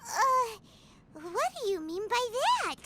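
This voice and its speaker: A woman voicing a small, excitable girl fairy companion in an anime game, cheeky and childlike. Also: takes it slowly, heavy background noise, full character voice. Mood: bewildered. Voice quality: squeaky, childlike. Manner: animated, refers to self in third person.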